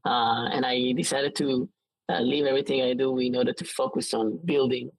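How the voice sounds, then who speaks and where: A man in his late twenties with an Israeli accent, talking casually as an interview guest in a halting way with frequent false starts. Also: mid-range voice, fairly even intonation, gravelly, dry recording, rough recording.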